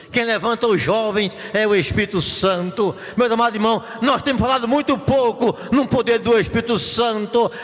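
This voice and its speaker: A man preaching a Pentecostal sermon in a church, impassioned, building to shouted refrains. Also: high-pitched, in a large hall. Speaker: pastor.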